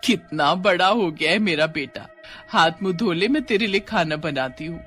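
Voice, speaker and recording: A man's voice: high voice, moderate pace, a bit bright, soft background music. A man voicing an affectionate, worried middle-aged village mother in a cartoon story, speaking tenderly.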